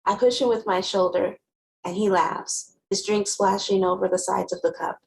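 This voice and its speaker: A woman playing a wry, guarded, sharp-tongued teenage girl who narrates her own story conversationally in a dramatic novel reading. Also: mid-range voice, big pitch swings, moderate pace, creaky, a little hiss or hum, poor audio. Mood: playful. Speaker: actor.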